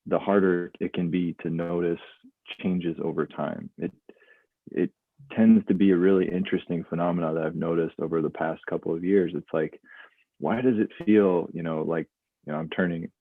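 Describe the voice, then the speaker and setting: A young man in his late twenties muses aloud as a guest, loose and reflective, circling back on his words. His voice sits very low. The line is thin and phone-like, with a dry, close sound. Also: fairly even intonation, normal speed, dry recording. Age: 20s. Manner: thoughtful, loose, many restarts and fillers. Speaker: guest, mindfulness instructor. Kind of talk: reflective conversational talk.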